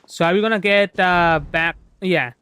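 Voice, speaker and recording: A woman's voice: lowish voice, fairly even intonation, moderate pace, a bit bright, smooth. A woman from India chatting casually as she streams a game, thinking aloud in a hesitant, musing way.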